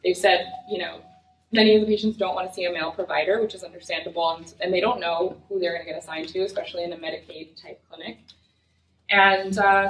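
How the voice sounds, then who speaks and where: A young adult woman speaks earnestly in a relaxed lecture style, her voice sitting a little low and often pausing on fillers. She is heard from across an echoey lecture room. A faint hum of noise sits behind her. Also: distant mic. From United States. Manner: earnest, conversational, many fillers. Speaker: physician lecturer. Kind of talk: academic lecture. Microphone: far room mic.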